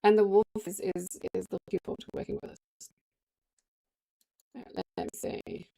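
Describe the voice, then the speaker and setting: A woman live streamer with the flu, hoarse, chatting casually and rambling to her viewers. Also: medium pitch, sing-song, moderate pace, mellow, rough recording.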